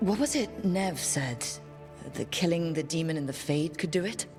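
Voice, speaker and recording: Low-voiced, supportive adventurer leader. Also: female voice, expressive, normal speed, faint music behind. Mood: gently probing. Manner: reassuring, gently probing. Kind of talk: video game cutscene acting.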